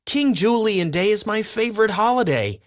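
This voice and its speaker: A man plays a tiny, eager storybook lemur. The voice is very high and childlike, pleading and full of adoration. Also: lively intonation, moderate pace. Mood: pleading, adoring. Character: small, cute, eager, adoring male lemur.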